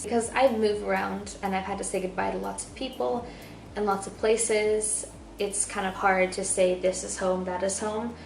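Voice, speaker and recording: A young woman born in El Salvador and raised in Turkey, chatting casually to camera in a bubbly, talkative way, swinging between loud and soft. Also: medium pitch, vocal fry. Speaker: vlogger. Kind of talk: casual vlog chat to camera. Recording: echoey room with faint noise.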